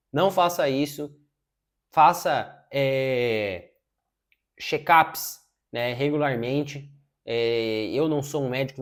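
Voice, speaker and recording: Casual man in his thirties. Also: mid-range voice. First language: Portuguese. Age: 30s. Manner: informal, says "cara" a lot, many filler "é" pauses. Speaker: self-improvement YouTuber. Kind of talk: casual advice talk. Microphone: wireless lapel mic.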